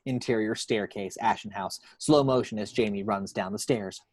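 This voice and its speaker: A man's voice: lowish voice, moderate pace, a little hiss or hum. A man reading scripted action descriptions from a screenplay as narrator. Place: at home.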